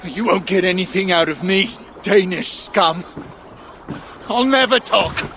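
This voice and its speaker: Game role: a rattled male Saxon thug. Extremely high for a man, strained bluster, slight background noise. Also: unhurried, pushed and bright, full character voice. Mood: defiant. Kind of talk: video game voice acting.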